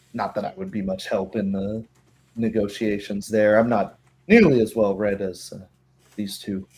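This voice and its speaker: A man voicing a grateful, humble woman who works at a dairy, speaking politely and haltingly in a tabletop game. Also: lowish voice, darkish tone, husky.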